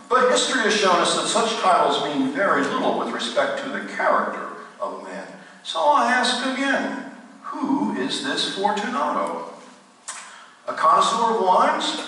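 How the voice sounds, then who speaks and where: An actor playing the ghost of a solemn, morbid storyteller, narrating a radio play in formal, grandiloquent phrases with deliberate dramatic pauses. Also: male voice, fairly high pitch, bright, forceful tone, full character voice. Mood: brooding, dramatic. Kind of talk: theatrical radio play narration to a live listener. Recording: distant echoey hall, faint noise.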